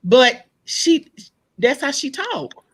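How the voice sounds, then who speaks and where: A woman with a loud speaking voice, talking animatedly in a casual group discussion, acting out the exchanges she retells. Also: sits high, takes it slowly. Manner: animated storyteller, acts out quoted exchanges.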